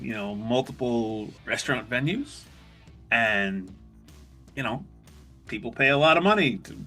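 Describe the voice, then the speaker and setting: Male podcast host, middle-aged, crisp bright tone, conversational self-deprecating storyteller, guitar music underneath. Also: mid-range voice. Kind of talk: casual solo podcast storytelling.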